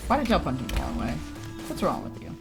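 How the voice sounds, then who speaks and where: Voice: mid-range voice, huge pitch swings, quick pace, vocal fry, over loud music. A young adult live streamer giving casual, informal, self-deprecating commentary while playing a game. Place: indoor live stream with game music behind.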